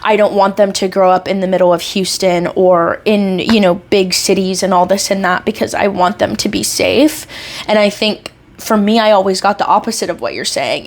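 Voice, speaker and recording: A woman hosts a podcast and talks casually and agreeably. Her talk rambles on with plenty of fillers as she shares her thoughts. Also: mid-range voice. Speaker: podcast host.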